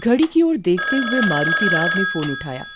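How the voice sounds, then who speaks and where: A woman's voice: on the low side, sing-song, normal speed, very noisy. A woman giving a scripted narration of a horror story.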